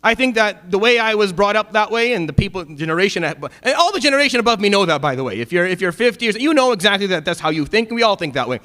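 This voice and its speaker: Brief: middle-aged man, imam's Friday sermon, rapid delivery, swings from emphatic to wry. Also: expressive, bright, forceful tone. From Syria. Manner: impassioned, rising to emphatic peaks then dropping low and wry. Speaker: imam.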